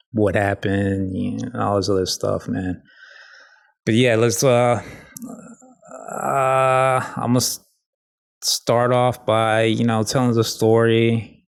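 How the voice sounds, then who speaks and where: A man hosting a casual solo podcast, talking in a halting, rambling, informal way with a slight lisp. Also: lowish voice. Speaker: podcast host.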